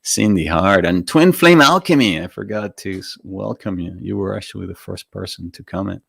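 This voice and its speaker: Low-voiced man with a Venezuelan accent. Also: normal speed, gravelly, slightly rough, no room sound, clean studio sound. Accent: Venezuelan Spanish. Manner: informal, gently encouraging, chatty asides to viewers. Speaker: meditation teacher hosting a live broadcast. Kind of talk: casual spiritual talk before a guided meditation.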